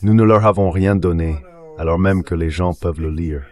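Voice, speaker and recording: Deep-voiced male political analyst. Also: normal speed, darkish tone. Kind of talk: explanatory geopolitical commentary.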